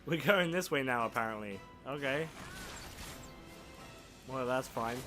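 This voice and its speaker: Croaky-voiced man commentating gameplay. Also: medium pitch, animated, a little slow. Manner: self-deprecating, swears casually. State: croaky, sore throat. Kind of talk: casual let's play commentary. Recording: game music playing behind.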